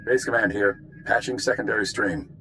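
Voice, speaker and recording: Deep-voiced stern spymaster commander. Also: male voice, a little fast, bright, forceful tone, soft background music. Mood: stern, wry. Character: stern, world-weary male spymaster of a space republic. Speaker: intelligence commander giving a mission briefing. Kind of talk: video game voice acting.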